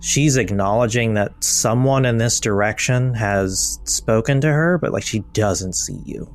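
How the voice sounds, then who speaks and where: A man's voice: on the low side, unhurried, faint music behind. A man narrating as game master, casual, with many filler words.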